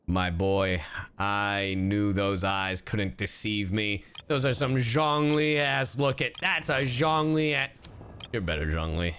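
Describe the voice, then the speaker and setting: A man livestreaming casual reaction commentary, slangy, sweary and excitable. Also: fairly low pitch, slow, clear, resonant tone. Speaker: streamer.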